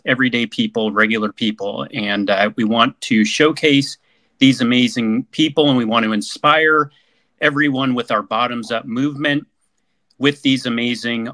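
Bright upbeat male podcast host. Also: medium pitch, dry recording. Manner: upbeat, repeats praise words. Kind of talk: hosting an online panel forum.

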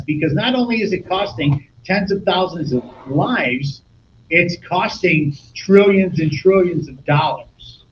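Casual man with a slightly higher voice. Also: highs cut off, slight background noise. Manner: casual and frank, with filler words. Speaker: interviewer host. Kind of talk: casual interview questions.

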